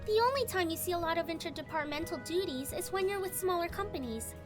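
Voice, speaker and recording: A man voicing a gentle, informative young woman in a story game. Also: very high voice, lively intonation, quick pace, music in the background, voice pushed up. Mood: explaining. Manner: gentle, explanatory.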